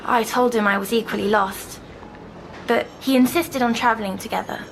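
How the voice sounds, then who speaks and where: A woman playing a timid, dreamy young girl patient who recounts her nightmares in a hushed audio drama. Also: on the high side, fairly even intonation, normal speed, a little hiss or hum, lightly acted, poor audio. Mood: hushed wonder.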